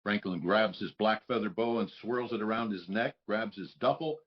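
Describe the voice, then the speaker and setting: A man's voice: lowish voice, normal speed, highs cut off. A man reading stage directions as scripted narration for a live table read.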